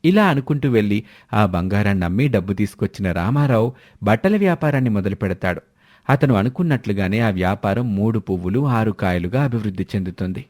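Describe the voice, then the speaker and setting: A man's voice: on the low side, expressive, a little fast, a little mellow. A man narrating a scripted moral story as a storyteller.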